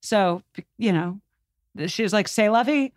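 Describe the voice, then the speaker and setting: A woman's voice: mid-range voice, unhurried, a little mellow, dry recording, studio quality. A woman guest on a podcast talking history in an informal, emphatic storytelling way. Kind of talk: conversational history discussion.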